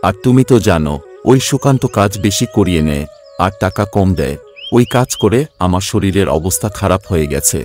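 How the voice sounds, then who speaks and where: A man voices a poor, weary husband who works as a day labourer in a cartoon tale. His voice is fairly low, with a humble, plaintive manner. Faint music plays behind him. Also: expressive, brisk, a little mellow. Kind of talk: cartoon story voice acting.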